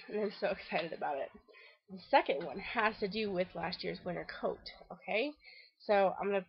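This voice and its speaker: A woman's voice: mid-range voice, animated, moderate pace, vocal fry, long pauses, muffled recording. Stuffy-nosed woman vlogging from her back deck. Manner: chatty and rambling, frequent ums and restarts. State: congested, stuffy nose. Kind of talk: casual video diary update. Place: covered back deck outdoors.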